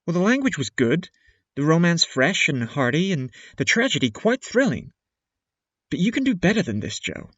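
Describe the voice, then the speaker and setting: A man playing a thoughtful, high-minded father giving earnest advice in a period audio drama. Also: sing-song, moderate pace, a bit bright, dry recording, lo-fi sound. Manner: earnest, advising.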